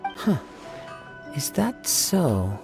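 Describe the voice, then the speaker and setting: A man playing a calm, modest young samurai in a video game, speaking politely, softly and thoughtfully. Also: fairly high pitch, drawn-out words, vocal fry, full character voice. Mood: tactful, probing. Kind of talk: scripted video game dialogue acting. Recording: game music behind the voice.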